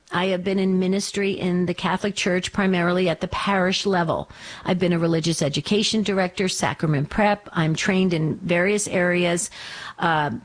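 A mature American woman from New Jersey, in her fifties or sixties, chatting casually as a radio host in an effusive, emphatic way. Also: mid-range voice. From New Jersey, United States. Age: 50s or 60s. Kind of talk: casual chat answering a listener's letter.

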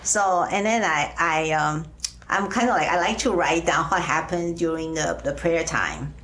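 A middle-aged woman from Taiwan with a Taiwanese accent, answering interview questions conversationally and haltingly, often pausing mid-phrase. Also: sits low, expressive, fairly bright tone. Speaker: interview guest, teacher. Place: radio studio.

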